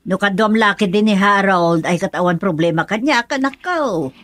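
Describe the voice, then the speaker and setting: A woman's voice: medium pitch, moderate pace, a bit bright, no echo, studio quality, natural voice. An actress playing a suspicious, nagging older mother in an Ilocano radio drama.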